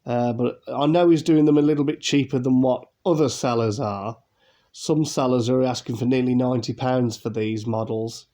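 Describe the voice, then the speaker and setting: A man's voice: mid-range voice, steady tone, moderate pace, dark tone. A man from England, hosting a casual unboxing video, chatty and rambling with fillers, his voice nasal and congested from a cold. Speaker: YouTube unboxing host.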